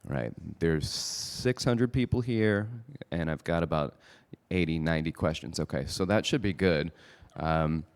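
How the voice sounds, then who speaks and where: A man's voice: low-pitched, smooth. A man hosting a podcast live at a conference, chatting energetically with the audience from a handheld mic. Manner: energetic, chatty presenter style with quick asides. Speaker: podcast host. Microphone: handheld microphone.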